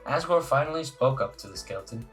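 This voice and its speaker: A man's voice: mid-range voice, animated, fast, a bit bright, low-quality recording. Man reading a visual novel, music behind. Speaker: let's play host and reader. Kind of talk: casual narration reading a visual novel aloud.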